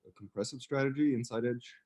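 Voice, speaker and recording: A man's voice: mid-range voice, fast talker, a little mellow. A man taking part in a coaching call, tentatively piecing his thoughts together in casual discussion.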